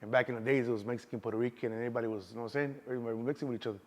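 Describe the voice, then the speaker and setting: A man's voice: mid-range voice, fairly even intonation, very fast. A man in his thirties with a Chicago accent, a guest in a casual street interview, talking loosely and constantly saying "you know what I'm saying".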